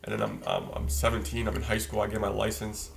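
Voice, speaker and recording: Podcast guest, 20s man from Poland, slangy and loose, a bit low with some fry. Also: big pitch swings, fast talker, a little hiss or hum. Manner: slangy, loose storyteller, fillers like "you know". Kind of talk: casual chat, storytelling.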